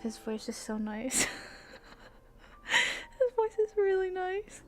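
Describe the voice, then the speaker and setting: A woman livestreaming visual novels, switching between excitable gushing reactions and expressive read-aloud narration. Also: very high-pitched, takes it slowly. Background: game music.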